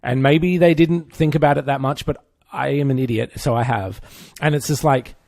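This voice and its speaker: A man's voice: mid-range voice, steady tone, normal speed, vocal fry, a little mellow, dry recording, studio quality. A man co-hosting a podcast, chatting informally in an opinionated, geeky, self-mocking way. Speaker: podcast co-host. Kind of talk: casual pop-culture chat.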